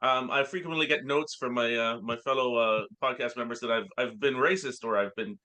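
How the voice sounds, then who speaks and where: A man co-hosting a comedy podcast, telling rambling stories in halting bursts full of filler sounds. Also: medium pitch, sharp tone. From Canada. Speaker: podcast co-host. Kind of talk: casual comedic chat.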